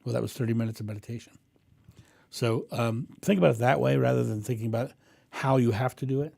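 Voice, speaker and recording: Booming, gravelly man chatting on stream. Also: quick pace, no echo. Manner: animated, acts out inner thoughts with raised voice; rambling with frequent ums and restarts. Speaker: spiritual teacher hosting a live stream. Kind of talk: casual live-stream chat answering viewers. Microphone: condenser mic through a mixing board.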